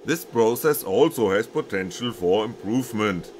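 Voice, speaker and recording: Low-pitched man narrating, with background noise. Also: expressive, moderate pace, darkish tone. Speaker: maker presenting own machine project. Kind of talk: scripted technical narration.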